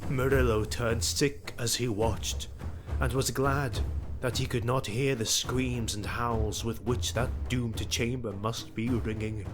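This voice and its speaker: A man narrating an audio drama in a scripted, measured and dramatic storytelling style. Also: mid-range voice, steady tone. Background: music.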